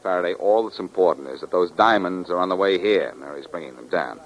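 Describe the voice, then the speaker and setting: An actor playing a cocky, confident, wisecracking amateur detective with a breezy, teasing manner in 1940s radio drama style. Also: male voice, low-pitched, a little fast, lightly acted. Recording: muffled lo-fi 1940s radio recording.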